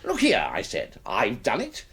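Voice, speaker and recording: Radio drama: jovial British country-house gent, blustery and eccentric, very animated delivery, slightly rough voice. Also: male voice, medium pitch, measured pace, heavily acted. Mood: boastful, expansive. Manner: blustery, chuckling, old-fashioned turns of phrase.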